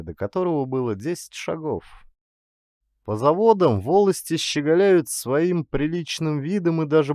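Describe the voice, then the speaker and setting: A man reading literary prose as an audiobook narrator, in an expressive, theatrical manner. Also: medium pitch, dry recording, clean studio sound.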